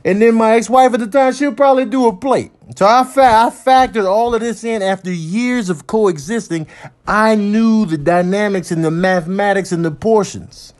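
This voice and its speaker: A man with a high voice riffs casually in a slangy, conversational way. He comments as a parent, spinning stories with comic mock indignation. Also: moderate pace.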